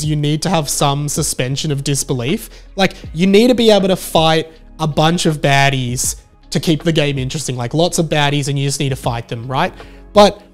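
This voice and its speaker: A man in his thirties vlogging about video games in a casual, animated, emphatic way into a handheld microphone. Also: sits high. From Australia. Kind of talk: casual enthusiastic talk to camera. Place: small room at home, indoors. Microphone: handheld microphone close to the mouth. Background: background music.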